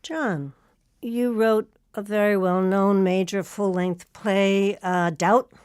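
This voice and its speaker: A woman's voice: mid-range voice, fairly even intonation, slow, darkish tone, clear, resonant tone, no echo, studio quality. A woman hosting an interview program, speaking in a formal, articulate, scripted manner. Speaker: host.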